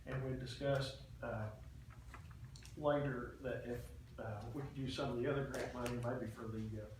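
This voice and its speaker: A man explaining a report at a public meeting in a halting, matter-of-fact way. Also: medium pitch, fairly even intonation. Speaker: official presenting a radio system report to a committee. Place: indoor public meeting room. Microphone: distant room microphone. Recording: echoey meeting room, distant mic, faint noise.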